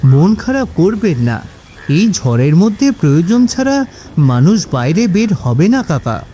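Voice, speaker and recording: A man's voice: sits high, big pitch swings, measured pace, mellow, slight background noise. A man playing an impatient ferry passenger in a ghost story cartoon, polite but urging. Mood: consoling.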